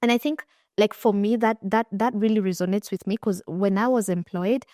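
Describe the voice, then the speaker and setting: A woman's voice: mid-range voice, moderate pace, no room sound, clean studio sound. A young Kenyan woman with a Kenyan accent hosting a casual interview, telling stories with lots of restarts. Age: young adult. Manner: animated storytelling with frequent restarts. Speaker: podcast host and finance coach.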